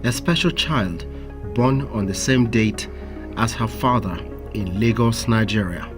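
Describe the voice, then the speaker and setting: A man narrating a documentary in a measured, formal scripted style. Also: mid-range voice, over music. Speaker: narrator and interviewer.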